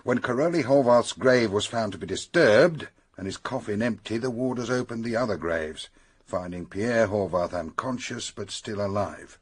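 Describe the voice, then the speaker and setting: A police detective in a radio mystery. He has a low, husky voice with lively swings of pitch, blunt and sceptical as he presses his questions. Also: moderate pace. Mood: grave. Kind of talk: detective radio drama acting.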